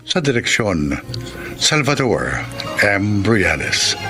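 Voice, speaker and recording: A man announcing and hosting a radio drama in a solemn, deliberate, dramatic scripted delivery. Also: on the low side, very animated. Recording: music under the voice.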